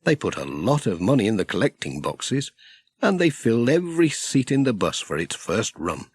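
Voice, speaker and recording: British male children's storyteller: lowish voice, lively intonation, scripted audiobook read. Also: normal speed, breathy edge, dry recording.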